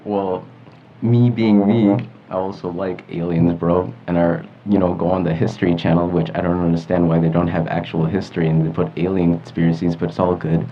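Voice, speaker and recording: Low-voiced young American guy, dull audio. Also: flat delivery, fairly quick, slight background noise. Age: teens or young adult. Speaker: co-host of a teen talk show. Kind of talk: casual, slangy chat.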